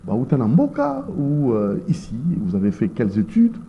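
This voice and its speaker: A man, hosting an interview and prompting his guest with quick follow-up questions. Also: mid-range voice, huge pitch swings, a little hiss or hum. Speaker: interviewer, host. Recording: muffled lo-fi sound.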